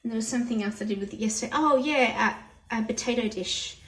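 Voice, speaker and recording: A hoarse woman chatting through a cooking demonstration in her home kitchen, explaining each step plainly. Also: on the high side, fast. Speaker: home cooking channel host. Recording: roomy kitchen audio from a distance.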